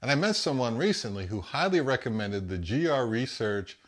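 A man's voice: medium pitch, expressive, normal speed. A man in his fifties with an American accent, talking casually as the host of a vlog. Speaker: host of a hi-fi audio channel.

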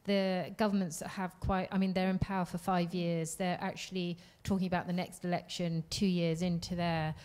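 A woman in the audience asks a question with a mellow, warm voice. She hesitates a lot and fills her pauses with "um", in a conference room. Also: lowish voice, flat delivery. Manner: hesitant, many "um"s. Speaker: audience member asking question.

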